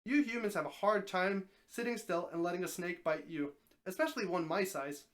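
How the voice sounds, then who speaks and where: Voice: mid-range voice, moderate pace, fairly bright tone, natural voice. A reader voicing an affable male talking snake who grants wishes, explaining things patiently and confidently in a story.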